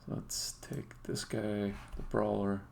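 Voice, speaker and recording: A man with a fairly low, gravelly voice talks casually through a screen demo on a live stream. He thinks aloud in halting, hesitant bits, half muttering to himself as he works. There is faint background noise, with keyboard and mouse clicks. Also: monotone, darkish tone. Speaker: indie game developer, streamer.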